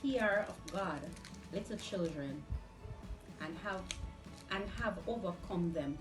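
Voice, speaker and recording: A woman's voice: lowish voice, expressive, takes it slowly. A woman with a Jamaican accent gives casual testimony and scripture, emphatic and repetitive, with some Patois. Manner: emphatic, repeats phrases, mixes Patois with English. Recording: echoey room, distant mic, music underneath.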